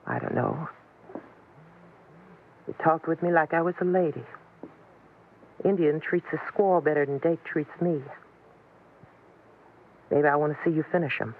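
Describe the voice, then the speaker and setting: A woman's voice: low-pitched, steady tone, a little slow, pauses often, narrow, tinny audio, slight background noise. An actress playing a lonesome, plain-spoken American frontier woman in a 1950s radio western, on an old broadcast recording. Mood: resigned, bitter. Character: weary, lonesome frontier woman with a troubled past.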